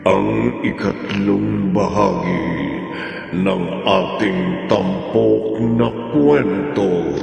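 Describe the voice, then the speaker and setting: Deep slow gravelly announcer guy, super echoey, long reverb. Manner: slow, dramatic, drawn-out. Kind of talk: dramatic radio announcing. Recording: echoey reverb over loud music.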